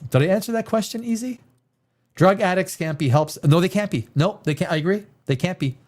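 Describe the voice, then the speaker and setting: Man, blunt profane opinion rant, lively swinging pitch, slightly high voice. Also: a little fast. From Canada. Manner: blunt and profane, with emphatic repetition. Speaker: livestream host. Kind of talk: opinionated livestream chat.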